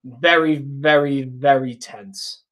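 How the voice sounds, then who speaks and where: A man's voice: mid-range voice, steady tone, takes it slowly, a crowd in the background, poor audio. A British man in casual, slangy conversation, dropping in "like" and "mate" as he talks through sales technique. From United Kingdom. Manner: informal, slangy, says "like" and "mate". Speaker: sales closer reviewing his old call. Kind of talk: casual commentary and sales talk.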